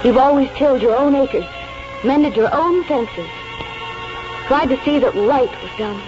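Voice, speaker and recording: Devoted wife, muffled vintage radio. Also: female voice, high-pitched, expressive, measured pace. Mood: proud, tender. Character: devoted, proud older wife of a country lawyer. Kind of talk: 1940s radio drama acting. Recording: muffled old radio recording with music behind.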